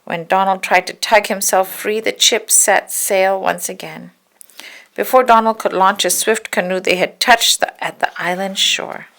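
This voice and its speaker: A woman's voice: mid-range voice, steady tone, a little slow, a bit bright. A woman narrating a children's story as a read-aloud. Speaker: storybook reader.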